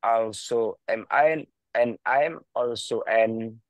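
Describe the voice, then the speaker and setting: An Indonesian-accented man takes part in an online English class. He talks very slowly, stops often, and repeats words after his tutor. His voice sits at a middle pitch and sounds dry and close to the mic. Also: dry recording.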